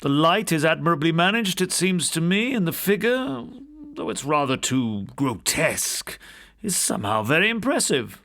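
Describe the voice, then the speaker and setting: A man voicing a refined gentleman art lover in an audiobook ghost story, polite and appraising, with a cultured manner. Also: a little high, sing-song, moderate pace, voice pushed up. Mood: admiring.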